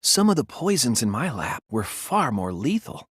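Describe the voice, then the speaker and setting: A man playing an earnest, analytical young male detective in an English video game dub, reasoning deliberately and articulately. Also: medium pitch, sing-song, fairly bright tone, husky, no room sound, studio quality. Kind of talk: English game dub, dramatic courtroom deduction.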